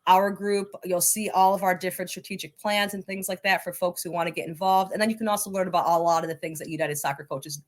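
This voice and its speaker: Lower-pitched woman giving interview answers. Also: fairly even intonation, quick pace, hardly any pauses. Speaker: interview guest, coaches' association leader.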